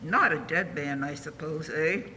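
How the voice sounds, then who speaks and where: Man playing a piercing, callous, high-voiced scavenger. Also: unhurried, pushed and bright. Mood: brazen, sly. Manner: theatrical, sly, cackling. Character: cackling, callous scavengers and gossips. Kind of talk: 1940s radio drama acting. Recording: echoey hall, faint background noise.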